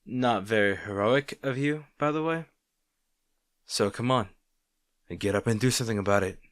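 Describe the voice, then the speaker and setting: A man playing a snarky supervillain rival who secretly cares, in a dramatic roleplay monologue, teasing and sardonic, halting when flustered. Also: mid-range voice, dry recording, clean studio sound, natural voice. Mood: taunting. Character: snarky male supervillain rival, secretly worried about his hero.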